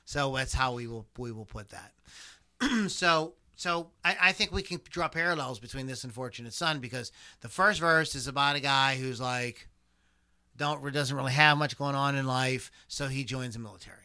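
Bright-voiced rambling man. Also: medium pitch, moderate pace, clear, resonant tone. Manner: rambling with many fillers and restarts, profane. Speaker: podcast host. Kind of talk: casual chat, reading lyrics aloud.

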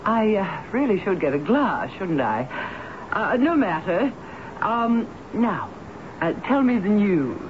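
An actress playing a grand, dreamy, tipsy former stage star, dramatic and hesitant, in a 1970s radio drama. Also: female voice, mid-range voice, sing-song, takes it slowly. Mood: tipsy, prying. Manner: dramatic, hesitant with many ums. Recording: muffled, noisy old radio broadcast.

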